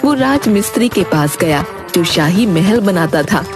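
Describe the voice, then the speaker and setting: A woman's voice: fairly low pitch, lively intonation, normal speed, music in the background. A woman reading scripted narration for a comedy cartoon story.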